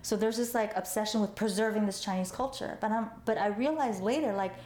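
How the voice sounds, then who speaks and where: Woman guest chatting loose, lots of "like" and "you know", mellow tone, echoey room. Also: mid-range voice, fairly quick. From United States, Los Angeles. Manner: chatty, lots of fillers, laughs easily. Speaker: artist guest. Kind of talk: conversational interview.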